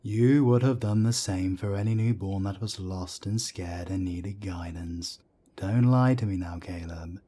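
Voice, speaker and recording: A man playing a calm, firm older werewolf councillor and mentor in an audio drama, with a soft, breathy rasp. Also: sits low. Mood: earnest, insistent. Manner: measured, firm, reassuring. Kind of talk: scripted roleplay audio drama.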